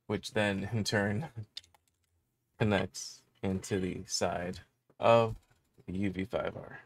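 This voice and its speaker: A man's voice: low voice, fairly even intonation, slow pace, gravelly. A man in his thirties giving a casual how-to explanation as host of a tech tutorial. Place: small indoor room with electronics gear.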